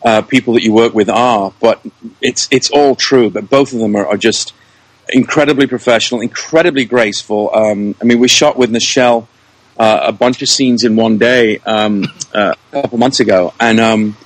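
A man's voice: medium pitch, normal speed, rough recording. A man speaking as a guest in a casual podcast interview, effusive and often repeating words as he gathers his phrasing. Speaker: interview guest, producer, director and actor.

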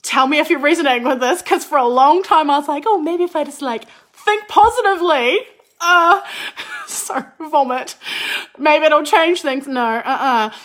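A young woman, a business and mindset coach, talking in a chatty, animated way with a slightly croaky voice after a cold. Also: high voice, moderate pace. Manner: chatty, animated, says "like" often. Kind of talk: casual podcast monologue.

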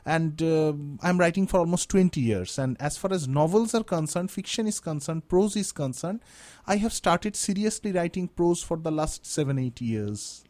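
A man's voice: fairly high pitch, animated, moderate pace, darkish tone. A man in his thirties whose first language is Bengali, a guest in a radio studio interview, speaking reflectively and searching for words as he goes. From India. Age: 30s. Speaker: guest writer.

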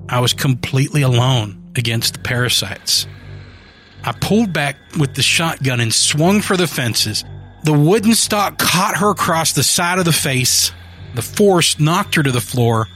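A man's voice: fairly high pitch, fairly bright tone. A man reading a grim first-person horror story aloud as the show's narrator, with faint music behind him.

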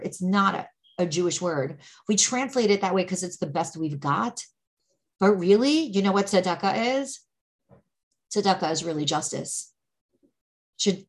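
Woman teaching in a conversational way. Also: lowish voice. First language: English. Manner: explains patiently, with frequent fillers and restarts. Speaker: Torah teacher.

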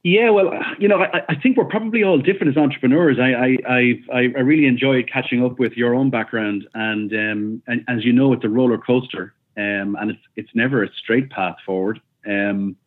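A man's voice: mid-range voice, fairly even intonation, moderate pace, hardly any pauses. A man in his forties speaking as an interview guest over a remote call, thoughtful and hesitant, with many ums and restarts. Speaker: guest, company founder. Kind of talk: casual interview answers. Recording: tinny phone-like call audio.

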